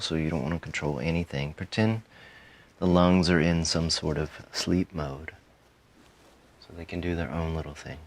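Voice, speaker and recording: A man guiding a meditation in a calm, soothing manner, giving soft instructions in short phrases with frequent pauses. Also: extremely low pitch. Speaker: meditation guide. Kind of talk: guided meditation, softly spoken instruction.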